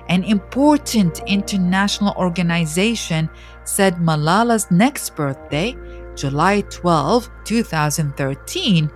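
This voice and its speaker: A woman narrator reading a scripted children's biography in a deliberate, expressive storytelling manner. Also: fairly low pitch. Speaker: audiobook narrator.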